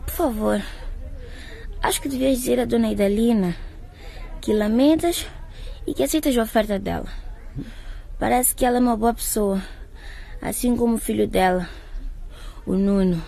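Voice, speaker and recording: A gentle, weary young expectant mother in her teens in a radio drama. She sounds pleading and hopeful, with a fairly high, slightly breathy voice. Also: moderate pace, long pauses. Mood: weak, pleading. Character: weary pregnant teenage daughter, gentle and hopeful.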